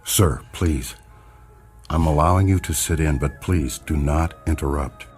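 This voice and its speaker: An actor playing a hypnotist doctor in a radio drama, formal and measured, soothing with patients yet protective of his dignity. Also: a bass voice, a little mellow, soft background music. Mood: stern, offended.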